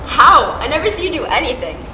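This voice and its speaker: A woman's voice: sits high, sing-song, fairly quick, noisy background. A young woman playing a stressed, dramatic teenage student in a school play, recorded on an echoey stage. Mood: stressed, exasperated.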